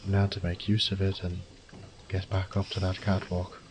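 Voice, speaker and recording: A man playing a wry, resourceful thief in an audio drama, muttering gruffly and cursing to himself. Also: deep voice, completely flat delivery, moderate pace, background noise, lightly acted. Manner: gruff, sardonic, swearing under his breath. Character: wry, foul-mouthed adult male thief, resourceful under pressure.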